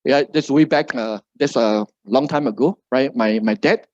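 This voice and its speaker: A man's voice: mid-range voice, moderate pace, no room sound, dull audio. A middle-aged man from Malaysia with a Malaysian English accent gives a seminar talk to a live audience, ending nearly every phrase with "right?". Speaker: motivational speaker.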